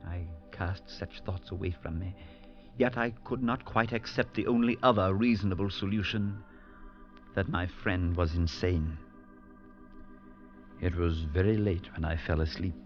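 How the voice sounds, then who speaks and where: A deep-voiced priest narrating a radio drama. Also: male voice. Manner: measured narration with rising alarm in dialogue. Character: male priest narrator, earnest, steady, unsettled. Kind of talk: 1940s radio acting. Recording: dull lo-fi vintage radio with music.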